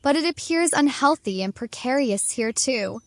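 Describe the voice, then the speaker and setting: A woman's voice: high-pitched, expressive, normal speed, no echo. A woman playing a naive, curious young stranger from a hidden world, formal and stilted, in a dramatized comic. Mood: earnest, inquiring.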